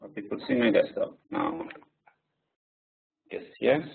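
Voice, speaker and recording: Man with a French-Spanish accent, non-native English, lowish voice, presenter, distant tinny line. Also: wildly swooping pitch, unhurried, vocal fry, slightly rough. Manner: hesitant, with many fillers. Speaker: software engineer presenter. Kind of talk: live coding webinar. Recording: distant tinny phone-like audio.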